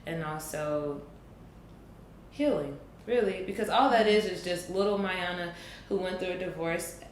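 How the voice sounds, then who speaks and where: A woman in her late twenties speaks in a lowish voice, pausing to weigh her words and then pressing a point with force. The room around her is echoey. A faint hiss sits under the recording. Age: 20s. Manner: thoughtful, slow and deliberate with emphatic bursts. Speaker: podcast guest. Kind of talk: reflective casual conversation.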